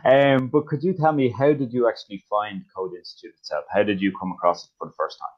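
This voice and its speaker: A man's voice: on the low side, steady tone, fast. A man interviewing a student, asking casually with some stumbling restarts. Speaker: interviewer for the school. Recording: muffled, dull sound.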